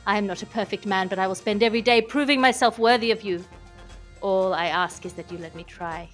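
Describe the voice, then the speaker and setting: A woman's voice: medium pitch, a little fast, faint music behind, close to an everyday voice. A woman voicing a lovestruck male sea captain in a romance audiobook, pleading in florid, impassioned style. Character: lovestruck, broad-shouldered sea captain declaring himself, romance hero.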